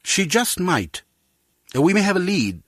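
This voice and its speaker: An actor playing a measured, authoritative spy agency director in a video game, with a husky voice and formal manner. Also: male voice, high voice, big pitch swings, dry recording, clean studio sound, full character voice. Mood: deliberate.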